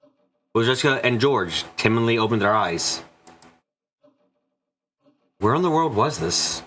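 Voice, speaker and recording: A man's voice: mid-range voice, lively intonation, a little slow, fairly bright tone, slight background noise. A man reading scripted story narration for a visual novel.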